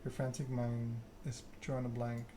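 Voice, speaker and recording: Male ASMR game narrator, mid-pitched, even and subdued storytelling, noticeable background noise. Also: moderate pace. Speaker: gaming video creator.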